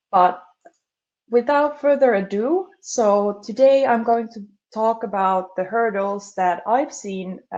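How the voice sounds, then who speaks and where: A woman from Finland, a customer success specialist, giving a measured webinar presentation with frequent "uh" fillers. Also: mid-range voice, a little mellow, highs cut off.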